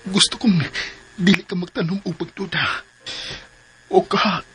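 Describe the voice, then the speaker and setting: Soft music runs under a devoted young man pleading his love. He is earnest and protective, speaking in a Cebuano Filipino accent with heartfelt radio-drama delivery. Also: high voice, steady tone, measured pace. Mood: earnest, loving.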